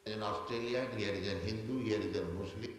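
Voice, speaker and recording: A man giving a spiritual lecture in short phrases, pausing so the group can repeat each line. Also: fairly low pitch, steady tone. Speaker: speaker leading a temple class. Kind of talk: spiritual lecture with call and response. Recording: echoey hall with long reverb, faint noise.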